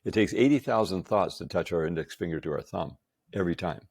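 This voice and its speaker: An upbeat man in his sixties holds forth as a podcast guest, his low voice rough with gravel and a husky grain. He hammers his points with repeated emphasis. The sound is close and dry. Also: animated, fast talker, a little mellow, no room sound. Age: 60s. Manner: enthusiastic, emphatic, laughs often. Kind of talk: casual chat.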